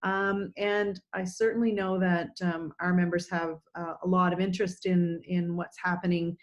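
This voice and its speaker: A woman host, moderating a video call politely, with many ums and halting restarts. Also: on the low side, little pitch movement, highs cut off. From New Brunswick, Canada. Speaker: chamber of commerce host.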